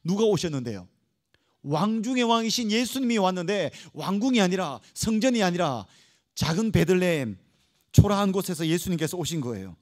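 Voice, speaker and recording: A man preaching a church sermon as a pastor, emphatic and dramatic, putting rhetorical questions to his congregation. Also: high-pitched. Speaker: pastor preaching to young adults.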